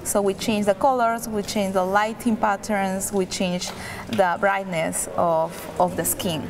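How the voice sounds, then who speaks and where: A woman's voice: mid-range voice, little pitch movement, a little slow, noisy background. Woman patiently explaining her maker project. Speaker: designer and maker.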